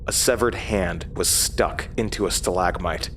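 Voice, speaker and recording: An actor playing a haunted man, narrating a horror audio drama in the first person with grave, measured hesitation. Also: low-pitched, a bit bright, a little hiss or hum. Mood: haunted, tense. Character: haunted man recounting his horrifying past. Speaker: narrator and lead character.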